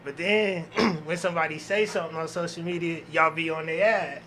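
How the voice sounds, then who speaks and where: Bright, high-pitched man chatting casually. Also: steady tone, fairly quick, a little hiss or hum. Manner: slangy, filler catchphrases like you know what I'm saying. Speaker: podcast panelist. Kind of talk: casual opinionated group chat.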